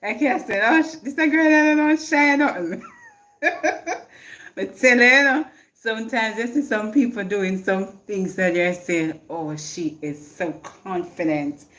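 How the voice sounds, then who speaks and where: Jamaican woman, live-stream host, unhurried talk, reads viewer comments and laughs, phone mic. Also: medium pitch, fairly bright tone. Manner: reads viewer comments aloud and answers them, laughs often. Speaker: livestream host. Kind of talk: casual live-stream chat.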